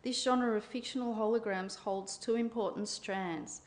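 A woman reading out an academic paper at a conference in a formal, measured way, then answering questions with effusive thanks. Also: a little high, fairly even intonation. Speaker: conference speaker.

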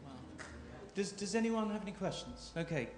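A man with a high voice chairs the session, brisk and informal as he calls for questions. He sounds roomy and echoey, with clear noise from the hall around him. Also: expressive.

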